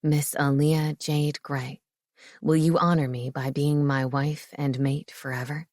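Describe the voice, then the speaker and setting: A woman voicing an adult male shifter, a tender and teasing lover, in a lower, soft voice. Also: flat delivery, measured pace, no echo, studio quality. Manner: low, soft, affectionate.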